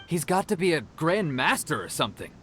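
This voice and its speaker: An actor playing a proud, earnest young martial arts disciple who explains things in a video game. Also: male voice, fairly high pitch, very animated, brisk, a bit bright, faint music behind.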